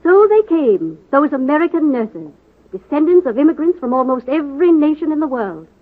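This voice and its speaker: A woman's voice: high voice, very animated, moderate pace. A woman narrator delivering dramatic scripted narration in 1940s radio style. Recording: tinny old radio recording, music behind.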